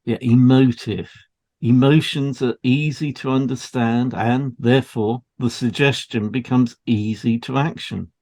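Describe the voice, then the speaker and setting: A man's voice: mid-range voice, fairly even intonation, measured pace, darkish tone. Man reading an educational podcast script. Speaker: podcast co-host, hypnotherapist.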